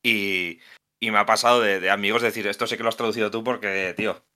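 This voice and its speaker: A man's voice: on the low side, steady tone, quick pace, sharp tone. A man from Asturias with an Asturian Spanish accent, a video game translator chatting on a podcast and often restarting his sentences as he thinks. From Asturias, Spain. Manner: thoughtful, many restarts and self-corrections. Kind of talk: casual podcast conversation.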